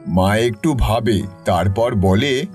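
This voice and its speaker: A man's voice: fairly low pitch, slow pace, darkish tone, faint music behind. A man giving scripted narration for a cartoon story.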